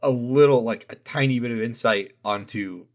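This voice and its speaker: A man's voice: medium pitch, moderate pace. A man co-hosting a podcast, rambling and hedging as he talks. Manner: rambling, hesitant, self-correcting. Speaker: podcast co-host. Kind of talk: casual podcast chat.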